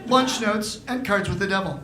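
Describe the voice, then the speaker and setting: Female radio-show announcer, tongue-in-cheek pitch style, roomy stage sound, clear music backing. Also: normal speed, a crowd in the background. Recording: roomy live stage recording, music behind.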